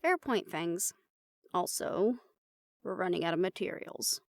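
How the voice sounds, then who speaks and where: Woman acting weary, uptight woman with creaky voice. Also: fairly low pitch, a little slow, no echo. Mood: matter-of-fact. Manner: dry, deadpan, exasperated. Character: uptight, weary, bespectacled woman in a supernatural research team. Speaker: voice actor in an audio roleplay. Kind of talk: fantasy audio drama roleplay, conversational acting.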